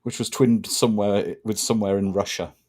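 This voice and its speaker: A man's voice: fairly low pitch, fairly even intonation, fairly quick, a little mellow. A man co-hosting a casual film watch-party commentary, telling stories with wry asides. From moved to Wales as a child. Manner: chatty, storytelling, wry asides.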